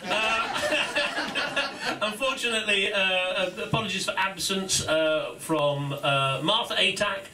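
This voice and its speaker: A man's voice: high voice, takes it slowly, heavy background noise. Chatty man hosting a pub launch. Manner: chatty, self-deprecating, many fillers and laughs. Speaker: host of a launch event. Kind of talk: informal launch speech. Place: pub function room. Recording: echoey room from far off.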